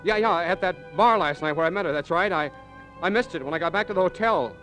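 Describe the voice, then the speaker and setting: High-voiced, nervous, stammering male suspect. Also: fast, fairly bright tone, low-quality recording. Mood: flustered. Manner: hesitant, stammering, defensive. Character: nervous, evasive male murder suspect, married out-of-towner. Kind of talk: 1940s-50s radio crime drama acting. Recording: old radio drama recording with music.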